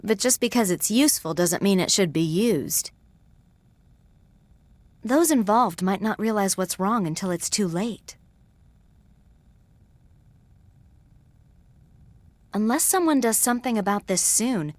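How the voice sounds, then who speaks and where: Actress as spirited young goatherd woman, lively voice. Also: medium pitch, normal speed, no room sound, lightly acted. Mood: grave, warning. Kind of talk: video game cutscene acting.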